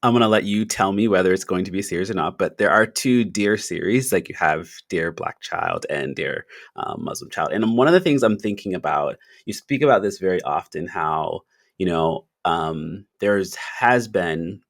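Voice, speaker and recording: A man hosting an interview podcast, asking a long question with hesitant pauses and many fillers. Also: deep voice, expressive, creaky, no room sound, clean studio sound. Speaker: podcast host. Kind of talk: interview conversation.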